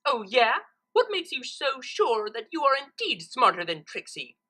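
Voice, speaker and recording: A boastful female unicorn magician from a cartoon fan story, acted out by a woman reader. The delivery is pompous and theatrical, with a bright, forceful edge and big swoops in pitch. She refers to herself grandly in the third person. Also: very animated, unhurried, exaggerated voice. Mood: challenging.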